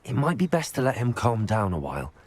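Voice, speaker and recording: A man voicing a calm, loyal student wizard in a video game, speaking in a measured, polite, conciliatory way. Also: medium pitch, no echo. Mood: tactful, conciliatory. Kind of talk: dramatic video game acting.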